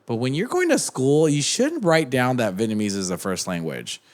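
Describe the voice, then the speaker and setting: A man's voice: medium pitch, very animated, normal speed. A young man talking as a podcast guest in casual chat, rambling with many restarts and fillers. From United States.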